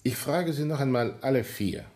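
An actor playing a composed, relentless police inspector in a radio drama, speaking formally and insistently. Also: male voice, medium pitch, normal speed, darkish tone, lightly acted. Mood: stern, composed. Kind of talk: German radio drama acting.

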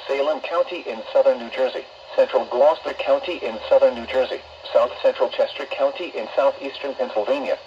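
A synthetic male announcer voice reading an automated weather warning in a formal, measured way, played through a weather radio speaker. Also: mid-range voice, darkish tone, lo-fi sound, slight background noise. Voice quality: synthetic text-to-speech voice. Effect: weather radio broadcast.

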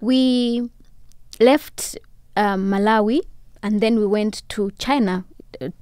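A young woman from Rwanda, a podcast guest, telling her story in casual chat with soft laughs. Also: medium pitch, slow, smooth, no echo, studio quality. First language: Kinyarwanda. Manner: warm storytelling with soft laughs and many "you know"s. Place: podcast studio, indoors. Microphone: studio boom mic with headphones.

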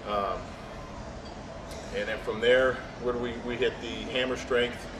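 A man's voice: medium pitch, fairly even intonation, measured pace, noisy background. A man in his thirties, a competing bodybuilder, talking casually and matter-of-factly about his training in a large indoor gym. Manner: plain, matter-of-fact, trailing fillers. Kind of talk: casual gym talk to camera. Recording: echoey gym audio from a distance.

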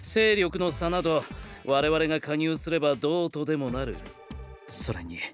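A man's voice: fairly high pitch, animated, moderate pace, faint music behind, natural voice. An actor playing a composed, formal, scheming retainer devoted to his lord in an anime audio drama. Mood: composed, resolute. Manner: formal, composed, persuasive speech. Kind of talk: Japanese anime voice drama acting.

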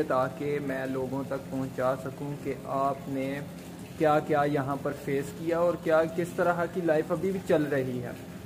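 A man, talking casually to camera as a vlog host and explaining things with English words mixed in. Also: fairly high pitch. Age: 20s. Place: open public area at night. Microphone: phone at arm's length. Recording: noisy phone recording.

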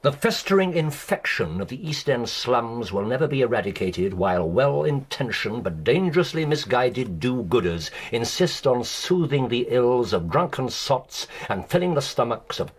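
An actor playing an incisive, commanding British detective in a radio drama, with precise, theatrical delivery and dramatic pauses. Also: male voice, mid-range voice, big pitch swings, husky. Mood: stern. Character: incisive, commanding male detective laying out a case. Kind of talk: BBC radio drama acting.

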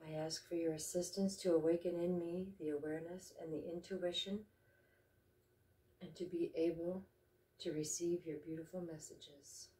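A woman leading a guided meditation and invocation, speaking slowly and reverently with deliberate pauses. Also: lowish voice, steady tone, warm tone. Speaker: meditation guide and ceremony host. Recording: roomy echo, distant microphone.

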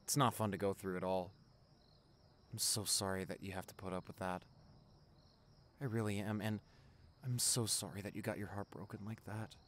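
A man's voice: on the low side, flat delivery, normal speed, long pauses, a little hiss or hum, natural voice. A young man playing a caring, chatty college best friend in an ASMR roleplay, talking casually and directly to the listener. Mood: concerned, gentle.

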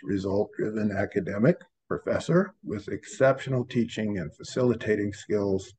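A man's voice: lowish voice, darkish tone, a little hiss or hum, rough recording. A middle-aged man on a video call, formally introducing a guest and asking prepared interview questions from a room at home. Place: home room with framed pictures, indoors. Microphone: computer webcam on video call.

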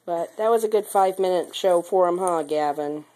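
A young mother, sick and speaking lower than usual, talking to her baby in a playful, sing-song way while filming in the nursery on a handheld camera. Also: moderate pace, dark tone, rough recording. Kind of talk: home video baby talk. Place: baby's nursery, indoors.

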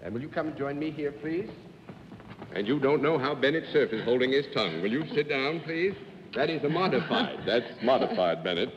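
A man's voice: mid-range voice, expressive, a crowd in the background. A middle-aged man moderating a live game show with teasing jokes, on a studio stage. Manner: playful, teasing, quick with jokes. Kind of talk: genial quiz-show moderating. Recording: dull lo-fi 1950s broadcast audio, long hall reverb, faint audience.

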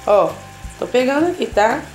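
A woman's voice: high voice, lively intonation, moderate pace, soft background music, rough recording. A woman with a Brazilian Portuguese accent hosting a casual home cooking tutorial, chatting to her viewers as she cooks in her kitchen. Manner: chatty, constantly checking in with the viewer. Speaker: cooking channel host.

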